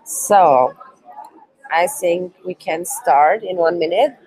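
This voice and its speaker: Low-voiced hesitant woman lecturing slowly. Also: darkish tone, husky, rough recording. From Switzerland, Turkish roots. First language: not English. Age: 20s. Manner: hesitant non-native English, many ums. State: sick. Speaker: youth work trainer. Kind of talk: live online course presentation.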